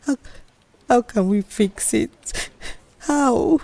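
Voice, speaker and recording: Tearful young female employee; Nigerian accent, high voice, slow, breathless, frightened, audio drama. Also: gravelly, dark tone, no echo, exaggerated voice. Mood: despairing.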